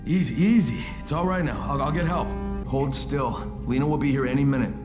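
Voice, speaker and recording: An actor playing Crane, a wary undercover operative, in a video game cutscene. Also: male voice, medium pitch, a little fast, reverberant, close to an everyday voice. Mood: urgent, reassuring. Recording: loud background music.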